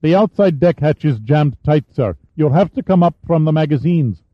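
A man's voice: lively intonation, normal speed, no echo. A man doing an eager teenage boy gunner. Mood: earnest. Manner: high, youthful, earnest. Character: seventeen-year-old male ship gunner, eager. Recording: dull, dry studio sound.

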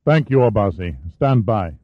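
A man's voice: fairly low pitch, animated, normal speed, no echo. A man voicing a stern older battleship captain who gives clipped commands. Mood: commanding. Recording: dull, dry studio sound.

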